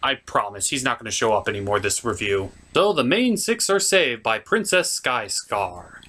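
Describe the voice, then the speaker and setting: A man's voice: medium pitch, very animated, normal speed, sharp tone. A man giving a wry, theatrical scripted comedy narration of a film recap. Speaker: host of a comedic puppet-show movie review.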